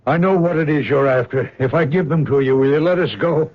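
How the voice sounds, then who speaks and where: A man's voice: a little high, brisk, lightly acted. An actor playing a frightened man handing over diamonds in a 1970s radio drama, speaking anxiously and pleadingly. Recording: dull old radio recording.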